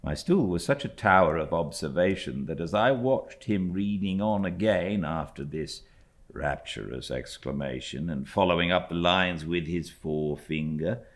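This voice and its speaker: A man with a British accent reading a classic novel aloud as an expressive audiobook narrator. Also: sits low, moderate pace. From Britain.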